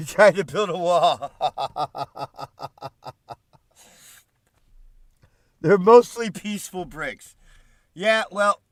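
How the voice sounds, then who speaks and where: A man's voice: high-pitched, expressive, drawn-out words, long pauses, no echo, studio quality. Congested man streaming sarcastic commentary. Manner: swears freely, mocking asides. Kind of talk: live-stream commentary, reading news aloud and reacting to chat.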